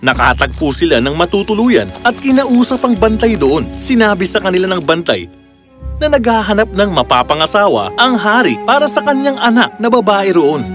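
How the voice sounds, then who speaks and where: A man's voice: high voice, sing-song, normal speed, a bit bright. A man narrating a scripted children's fairy tale as the storyteller. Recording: music clearly behind the voice.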